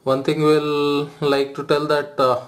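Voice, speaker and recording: A man's voice: fairly high pitch, takes it slowly. A man hosting a phone how-to video, explaining steps with frequent "uh" fillers. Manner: hesitant, frequent "uh" fillers, flat delivery. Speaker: tech video host. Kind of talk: phone tutorial walkthrough.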